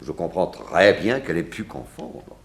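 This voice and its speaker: An actor playing a blunt, accusing small-town sheriff, insistently interrogating in 1960s radio drama style. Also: male voice, deep voice, big pitch swings, unhurried, vocal fry, roomy sound, full character voice. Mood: accusing, forceful.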